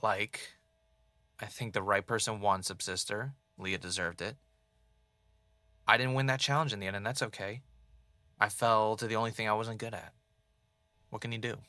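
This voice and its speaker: A man's voice: fairly low pitch, normal speed, pauses often, bright, forceful tone, dry recording. A man playing a former reality show contestant turned podcast host, haunted and defensive, in naturalistic audio drama dialogue. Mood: wistful, aching.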